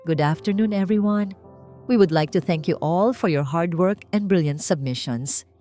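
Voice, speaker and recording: A woman's voice: on the low side, moderate pace, dark tone, natural voice. A woman voicing a formal company announcer giving a ceremonious speech in a romance story. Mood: formal, building suspense. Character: formal company announcer addressing assembled staff. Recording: music under the voice.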